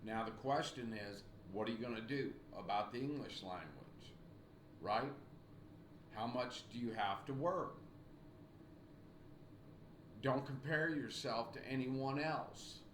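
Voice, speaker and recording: A man in his sixties, a college writing instructor giving a recorded video lecture at his webcam at home. He talks slowly and repeats himself in an encouraging way, clearing his throat often. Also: medium pitch, a bit bright. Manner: slow, repetitive, encouraging teacher talk with frequent throat clearing. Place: small home room indoors. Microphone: webcam at desk. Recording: echoey room with faint background noise.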